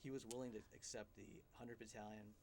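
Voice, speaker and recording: A middle-aged man, a guest filmmaker in a studio interview, explaining in a measured way with frequent ums and uhs. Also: fairly low pitch, little pitch movement, darkish tone, a little hiss or hum. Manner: measured, explanatory, many fillers.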